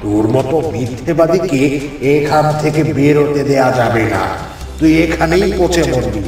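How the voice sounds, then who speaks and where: A menacing cave spirit king speaks in a heavy, creaky voice, taunting and passing judgment. The cartoon delivery is slow, set far back in deep echo with music behind it. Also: lively intonation, a little mellow. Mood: cursing. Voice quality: heavy, gravelly voice. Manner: slow, taunting, booming pronouncements. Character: menacing cave king spirit, judging and cursing trapped men. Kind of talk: cartoon fairy tale acting.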